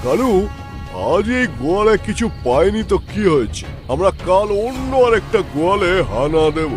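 High, swooping cartoon thief boss. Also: male voice, sing-song, normal speed. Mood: scheming. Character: scheming village cattle thief, bossy gang leader. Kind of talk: Bengali children's cartoon dialogue. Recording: music behind the voice.

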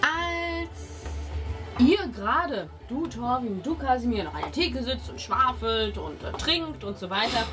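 A woman's voice: on the high side, very animated, a little slow. A woman narrating a tabletop game as game master, improvising as she goes. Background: music.

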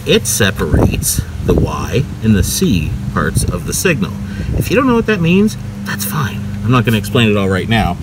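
A man's voice: on the low side, wildly swooping pitch, moderate pace. A man giving a casual, wry gear tour, thinking aloud with self-questioning asides as a hobbyist vlogger. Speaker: vlogger and video transfer hobbyist. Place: outdoors in a backyard, at a patio table. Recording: clear outdoor ambient noise.

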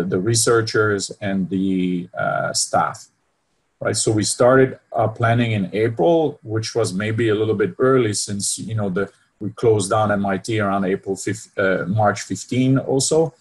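A man's voice: lowish voice, breathy edge. A middle-aged man from Belgium with a French accent, giving an online lecture over a video call from home, hesitant with many fillers and a habit of asking "right?". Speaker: university department head presenting. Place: home room with bookshelves. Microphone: laptop webcam video call.

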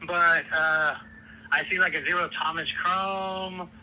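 A man's voice: fairly high pitch, steady tone, slow pace, a loud crowd, rough recording. A man working in a store, taking a customer call in a polite, patient, hesitant way, heard through a phone speaker. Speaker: store employee. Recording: phone speaker picked up, faint noise.

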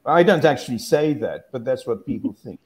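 A man's voice: mid-range voice, expressive, a little fast, a little mellow. Rambling older man on a video call. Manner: rambling, self-correcting, musing. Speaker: guest academic. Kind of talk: casual discussion on a video call.

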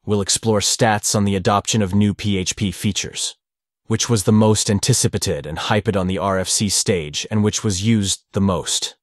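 A man's voice: lowish voice, fairly even intonation, moderate pace, dry recording, studio quality. A man introducing the next speaker at a conference, reading a formal introduction. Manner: formal, announcing.

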